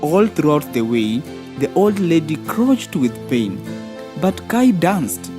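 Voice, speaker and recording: A woman's voice: sits low, very animated, takes it slowly. A woman narrating a scripted fairy tale for children as a storyteller. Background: soft background music.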